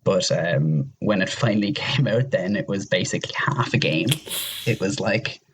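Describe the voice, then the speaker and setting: A man's voice: low voice, normal speed, background noise. A man in a casual podcast discussion, rambling and emphatic, opinionated and given to mild swearing. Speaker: podcast panelist.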